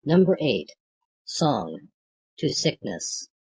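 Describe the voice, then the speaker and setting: A husky, creaky voice of unclear gender reads old verse aloud for an audiobook. The pace is very slow and measured, with a declamatory delivery that gives each phrase its own space. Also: medium pitch, very animated, a little mellow, low-quality recording. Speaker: audiobook reader. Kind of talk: reading classic poetry aloud.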